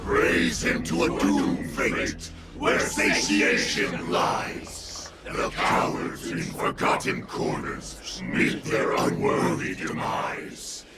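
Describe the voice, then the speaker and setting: A man's voice: extremely high pitch, wildly swooping pitch, slow, few pauses, shouting, full character voice. An actor playing a menacing, bloodthirsty male wolf warlord who snarls threats and chants a battle creed in a game cutscene. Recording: game audio with music behind.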